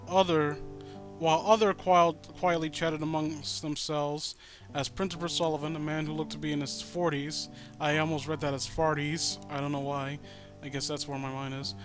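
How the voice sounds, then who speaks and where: A man reading a story aloud with comedic, exasperated commentary, swearing freely and stumbling over words. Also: a little high. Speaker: host reading and riffing on a fan-written horror story.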